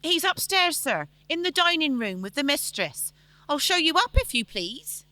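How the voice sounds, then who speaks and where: A woman's voice: high-pitched, sing-song, normal speed, bright, forceful tone, no echo, exaggerated voice. A woman playing a polite, eager young housemaid in a radio play performed on stage.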